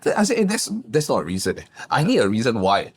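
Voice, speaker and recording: A man, a guest business coach telling his backstory in a lively, emphatic way. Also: fast, breathy edge. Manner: animated, emphatic, swings pitch up for punchlines. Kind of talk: casual interview storytelling.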